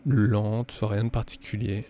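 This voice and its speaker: A man improvising narration as game master of a tabletop horror game, halting and pausing often between phrases. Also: fairly low pitch, little pitch movement, dark tone, slight background noise.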